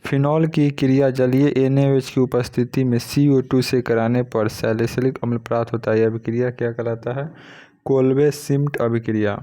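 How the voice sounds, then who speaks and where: A man with a medium-pitched voice teaches for a competitive exam. He reads each practice question aloud in a methodical way. Then he states the correct option and moves on. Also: level tone, normal speed, dark tone. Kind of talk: reading practice questions and giving answers.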